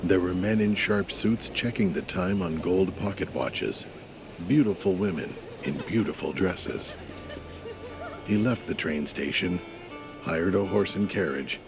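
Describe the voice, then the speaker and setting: Audio drama narrator, male, deep with plenty of fry, measured scripted storytelling. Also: music in the background.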